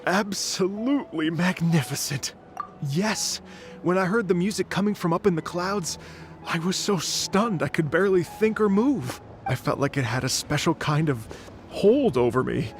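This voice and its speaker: Effusive, high-voiced young musician. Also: male voice, animated, moderate pace. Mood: awestruck. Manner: effusive, heartfelt. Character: earnest, awestruck young male musician, polite and effusive. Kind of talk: video game voice acting. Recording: music under the voice.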